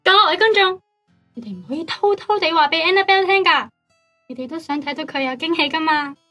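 A woman's voice: extremely high pitch, a little fast, bright, forceful tone, soft background music. A woman acting a sweet, coy, girlish young starlet in a radio drama, with a Hong Kong Cantonese accent. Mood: cute, teasing.

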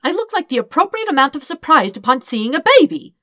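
A woman voicing a fussy, excitable male detective who gets defensive and halting in an audiobook story. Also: high-pitched, no room sound, studio quality, pitched above the performer's own voice, full character voice. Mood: indignant. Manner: indignant, halting, emphatic. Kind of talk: acted dialogue in fan fiction audiobook.

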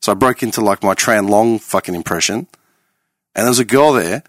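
A man's voice: fairly low pitch, a little fast, dry recording. Aussie guy late 30s, fired up and sweary, dead dry room. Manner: animated, profane, emphatic. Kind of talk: casual podcast chat.

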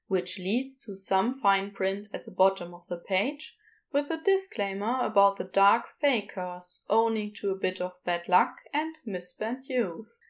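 A woman narrating scripted fiction as an audiobook storyteller. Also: mid-range voice.

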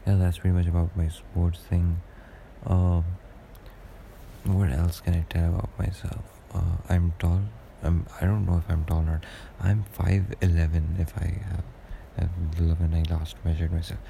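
A young man with a deep voice, rambling through a casual solo podcast monologue with lots of hesitations. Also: little pitch movement, unhurried, gravelly, mellow, a little hiss or hum. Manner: rambling and hesitant, many fillers and restarts. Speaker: podcast host.

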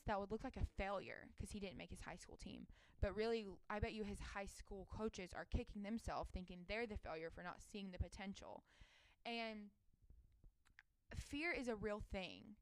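A woman's voice: mid-range voice, smooth, no echo. A woman in her twenties hosting a solo podcast, talking casually and earnestly in an encouraging, emphatic, conversational monologue. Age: 20s.

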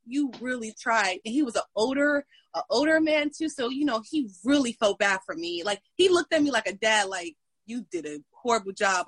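A woman tells a story with a husky, slightly raised voice. She is animated and laughs often. The sound is dry and close, with no room around it. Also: a little high, dry recording. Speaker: fitness instructor. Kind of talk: casual storytelling chat.

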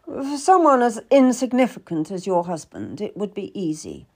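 A woman's voice: medium pitch, wildly swooping pitch, moderate pace, a little mellow, slightly rough, heavily acted. A woman voicing a brusque, condescending, calculating Tudor nobleman in a dramatised audiobook. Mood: persuasive, calculating. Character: curt, haughty Tudor nobleman, busy and calculating.

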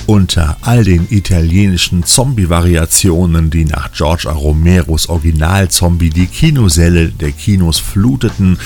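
Male film podcast host, very low register, scripted preview narration over a soft music bed. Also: normal speed, hardly any pauses. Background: faint music.

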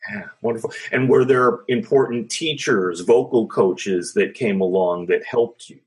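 A man's voice: fairly low pitch, a little slow, breathy edge, poor audio. A man interviewing a singer, asking questions and offering encouraging affirmations. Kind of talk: casual interview conversation.

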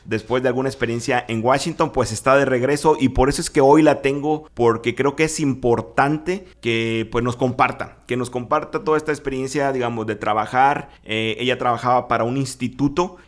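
A man hosting a radio talk show, introducing his guest in a relaxed, conversational way with occasional fillers. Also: medium pitch, a little fast, hardly any pauses. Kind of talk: casual radio interview. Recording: intro over music.

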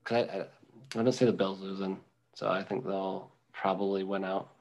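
Name: gravelly low-voiced guy. Tone: casual sports talk. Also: flat delivery, a little mellow, lo-fi sound. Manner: rambling, halting, many ums and restarts. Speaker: podcast co-host.

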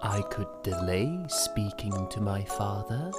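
A man playing a polite, hesitant young vampire prince in a fantasy audio drama, speaking in a courtly, halting, unsure way. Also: lowish voice, animated, loud music behind the voice.

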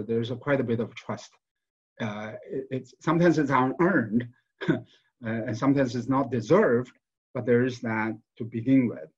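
A man from China, a professor giving a panel talk, speaking haltingly with many repeated words and fillers. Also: mid-range voice, darkish tone, slightly rough. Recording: slightly dull, lo-fi sound.

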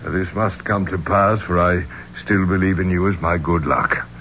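Deep-voiced, courtly gentleman archaeologist. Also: steady tone, moderate pace. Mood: courtly, hopeful. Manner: genteel, formal, slightly hesitant. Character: courtly, idealistic older gentleman archaeologist, expedition leader. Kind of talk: 1970s radio drama acting. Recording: muffled, noisy old radio recording.

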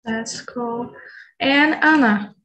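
A woman's voice: a little high, extremely slow, a bit bright, poor audio. A nineteen-year-old woman from Brazil with a Brazilian accent, hosting an English conversation group over a video call, hesitant with many ums. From Bahia, Brazil. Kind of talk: casual online English practice chat.

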